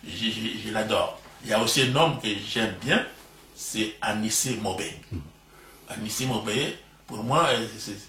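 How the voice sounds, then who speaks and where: Older Congolese man, bright and roomy. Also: on the low side, a little slow, slight background noise. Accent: Congolese French. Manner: proud, expansive, laughs easily. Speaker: interview guest, retired professor. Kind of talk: casual interview at home. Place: living room at home. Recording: roomy home recording from a distance.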